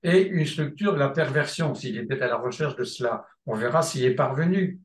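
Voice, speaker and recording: A man's voice: medium pitch, expressive, a little fast. Hesitant male lecturer in an echoey room. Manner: hesitant, many restarts and filler sounds, emphatic repetition. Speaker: seminar lecturer in psychoanalysis. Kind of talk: spontaneous seminar teaching.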